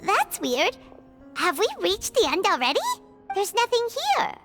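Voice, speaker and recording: A woman voicing a tiny, childlike fairy companion in a video game, squeaky, curious and exaggerated. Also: very animated, a little slow, exaggerated voice. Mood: puzzled. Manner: squeaky, wide-eyed, exaggerated. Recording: game music behind.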